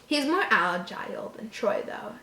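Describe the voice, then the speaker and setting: A woman's voice: medium pitch, sing-song, unhurried, vocal fry, pushed and bright. A woman reading a horror story aloud as a host, stumbling over words and breaking off into mocking, exasperated asides. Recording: echoey room.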